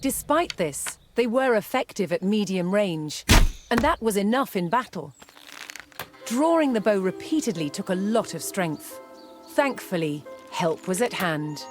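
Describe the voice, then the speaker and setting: A woman narrating a documentary from a script with measured, dramatic delivery. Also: mid-range voice, animated. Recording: loud music behind. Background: music.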